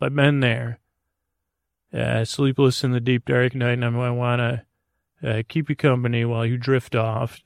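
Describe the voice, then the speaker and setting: A man hosting a bedtime podcast in a meandering, halting, goofy monologue meant to lull listeners to sleep, with a gravelly voice. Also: medium pitch, no echo, studio quality. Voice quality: gravelly, creaky. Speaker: podcast host.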